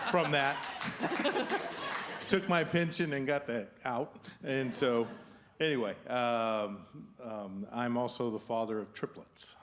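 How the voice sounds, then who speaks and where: A middle-aged man speaks at a slow, easy pace with lively inflection. He introduces himself to a group with wry humor, over clearly audible room noise. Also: medium pitch. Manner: joking, wry. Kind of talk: informal self-introduction to a group. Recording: noisy room, distant pickup.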